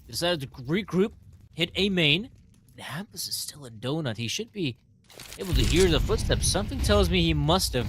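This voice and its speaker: A man's voice: a little high, a bit bright, slight background noise. A man from the Baltics co-commentating a live esports match, analytical and joking. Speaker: esports caster.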